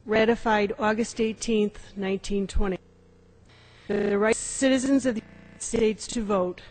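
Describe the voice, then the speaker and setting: A woman's voice: mid-range voice, darkish tone, rough recording. A woman, a member of Congress, formally reading a legal document aloud.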